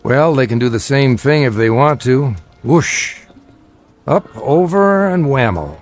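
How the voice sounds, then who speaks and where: An actor in a radio drama playing a weary middle-aged father, gentle but guarded and measured in his delivery. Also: medium pitch, lively intonation, soft background music, close to an everyday voice. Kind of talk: naturalistic radio drama acting.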